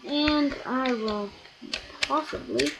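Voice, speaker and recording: A let's play gamer giving rambling, self-correcting commentary on a block-building game, with sudden excited outbursts, recorded at a home computer. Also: high-pitched, slow pace, creaky, very noisy. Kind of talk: casual gameplay commentary.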